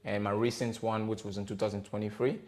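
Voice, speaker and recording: A man in his thirties from the Democratic Republic of Congo, talking as a podcast guest. His voice sits fairly low, and his speech is hesitant and loose, full of fillers and self-corrections. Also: level tone, quick pace, a little mellow. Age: 30s. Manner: hesitant, many fillers and restarts. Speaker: podcast guest, gospel rapper. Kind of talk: casual interview conversation.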